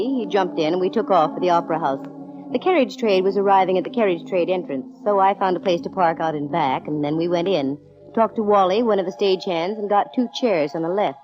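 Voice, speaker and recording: Actress doing a cool dry lady private eye, low voice, old muffled radio show w/ music under it. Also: lightly acted. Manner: brisk, dry, self-assured. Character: cool, wisecracking female private detective. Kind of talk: 1940s radio acting, first-person detective narration. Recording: dull vintage radio recording, music underneath.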